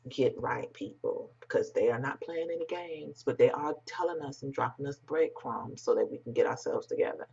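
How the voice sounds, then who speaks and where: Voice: mid-range voice, moderate pace, creaky, hardly any pauses, darkish tone, slightly rough. An American commentator with an androgynous voice, talking bluntly and emphatically in informal, unscripted remarks to online viewers. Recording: dull, muffled lo-fi sound.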